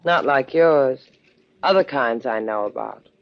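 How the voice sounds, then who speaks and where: Low-voiced, wry woman sailor. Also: lively intonation, a little slow, vocal fry, heavily acted. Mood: tender, concerned. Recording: dull vintage 1950s radio recording.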